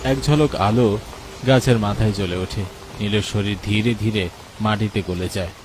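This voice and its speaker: A man's voice: lowish voice, normal speed, noisy background. A man narrating a scripted horror story as its storyteller.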